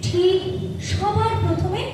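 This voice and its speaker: A woman playing an affectionate, teasing, protective mother in a stage audio drama, speaking tenderly with expressive, deliberate phrasing. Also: extremely high pitch, very noisy. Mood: gentle advising. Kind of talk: stage audio drama acting in recitation style. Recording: echoey hall, distant microphone.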